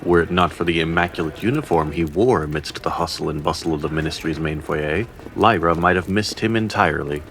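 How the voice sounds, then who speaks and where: A man's voice: low voice, brisk, few pauses, fairly bright tone, a little hiss or hum. A man narrating a scripted fantasy audio drama in a formal, literary storytelling manner. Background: faint music.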